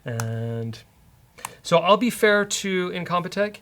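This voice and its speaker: A man's voice: high voice, animated, slow, fairly bright tone, a little hiss or hum. A man hosting a casual programming walkthrough, thinking aloud in a wry, hesitant way. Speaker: host, programming instructor. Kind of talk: casual live-coding walkthrough.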